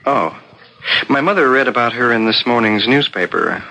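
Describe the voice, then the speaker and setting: An actor playing a polite, puzzled, halting writing instructor in a 1970s radio drama. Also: male voice, medium pitch, sharp tone. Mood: puzzled, guarded. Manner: halting, courteous. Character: polite, hesitant, puzzled correspondence school instructor. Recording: noisy vintage radio broadcast.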